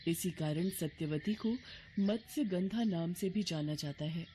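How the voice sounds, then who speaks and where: A woman's voice: fairly low pitch, measured pace, mellow, slight background noise. A woman narrating a scripted devotional story as a storyteller. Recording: music bed behind voice.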